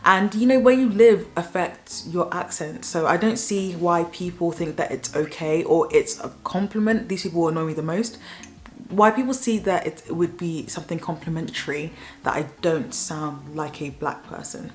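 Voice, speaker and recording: A young woman with a Southern English accent talks straight to camera in an opinion vlog. Her voice sits low for a woman, and she gives her views frankly and plainly, in an easy, conversational way. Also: moderate pace. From south of England. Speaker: YouTuber.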